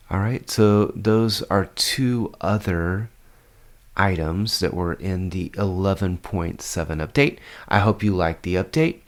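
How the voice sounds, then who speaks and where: A congested man, casually explaining a game update as a strategy guide host, rambling with many fillers. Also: deep voice.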